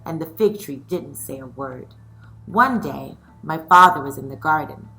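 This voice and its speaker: A woman teacher reading a children's story aloud and discussing it in an expressive style. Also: deep voice, unhurried, slight background noise, low-quality recording.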